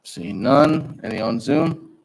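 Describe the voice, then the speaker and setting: Guy running a city hearing, gravelly voice, halting and procedural. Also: medium pitch, expressive, poor audio. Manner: procedural, halting, repeats himself. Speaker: board chair running a public hearing. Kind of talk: chairing a city meeting.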